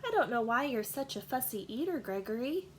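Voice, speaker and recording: An opinionated storybook father goat, voiced by a woman reader, grumbling and emphatic. The delivery is animated and pitched fairly high, declaring each point firmly. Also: a little fast, background noise. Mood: disapproving.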